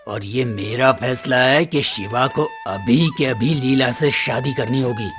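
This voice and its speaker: A man's voice: mid-range voice, wildly swooping pitch, unhurried. A man voicing a stern village headman who passes judgment in an authoritative, declamatory way in a cartoon story. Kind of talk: Hindi cartoon moral story dub. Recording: background music under the voice.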